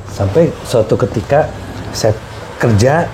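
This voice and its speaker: A middle-aged man telling personal stories casually as a podcast guest, often pausing and repeating himself to find words. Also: medium pitch, animated, noisy background. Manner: halting, repeats phrases as he searches for words. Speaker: podcast guest recounting past experiences.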